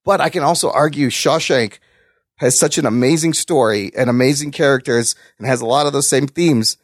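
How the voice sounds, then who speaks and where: A man's voice: medium pitch, brisk, no room sound, clean studio sound. A middle-aged man giving opinions on films as a podcast co-host, in an informal, emphatic way with some swearing. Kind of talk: casual opinionated film chat.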